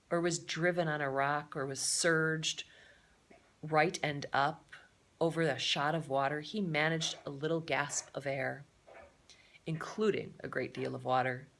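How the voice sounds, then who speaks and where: A woman reading a children's adventure novel aloud as a storyteller, expressive and given to explanatory asides to her listeners. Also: low-pitched, measured pace, vocal fry.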